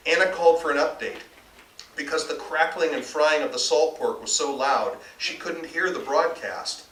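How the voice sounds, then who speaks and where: A man's voice: fairly high pitch, normal speed, a bit bright. An American man, an author reading his novel aloud as live narration, recorded indoors at a distance. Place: bookstore reading event, indoors. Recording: roomy, distant live recording, faint noise.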